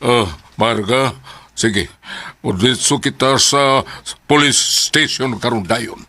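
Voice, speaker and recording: A man playing an authoritative boss in a Bisaya radio drama, speaking deliberately and emphatically with a Filipino accent. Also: mid-range voice, pushed and bright. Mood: decisive. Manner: deliberate, emphatic, repeating questions. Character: authoritative male boss questioning his staff.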